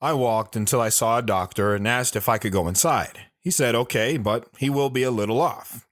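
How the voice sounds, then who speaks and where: A man's voice: mid-range voice, brisk, a bit bright, no room sound, studio quality, natural voice. An actor playing a reflective grown man recounting his boyhood in a first-person audio drama.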